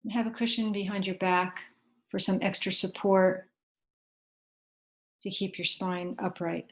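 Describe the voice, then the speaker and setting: A woman leading a guided meditation in a gentle, unhurried way, leaving long pauses between short instructions. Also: mid-range voice, steady tone, low-quality recording.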